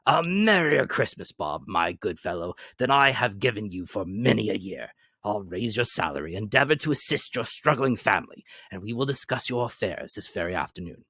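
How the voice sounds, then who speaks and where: A man voicing an elderly miser turned joyful and generous, in an eager, excited manner. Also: fairly low pitch, normal speed, few pauses, breathy edge, no echo, exaggerated voice. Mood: jovial.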